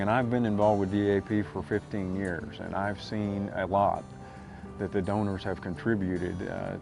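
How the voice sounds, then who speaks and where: A man who graduated from a recovery program gives a halting testimony, with frequent pauses and fillers. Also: on the low side, gravelly. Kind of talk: testimonial interview.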